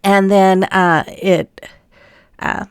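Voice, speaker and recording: A woman's voice: medium pitch, flat delivery, drawn-out words, smooth, no room sound, studio quality. An older woman, a grandmother, narrating her craft project in a chatty, homey voice-over, a little sniffly from a cold. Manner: chatty, homey, many "um"s and small laughs. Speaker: craft vlogger.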